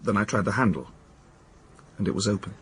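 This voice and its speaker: An actor playing a reserved, uneasy British academic witness in a radio drama, speaking formally, carefully and a little defensively. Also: male voice, on the low side, a little fast, fairly bright tone, breathy edge. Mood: careful, factual.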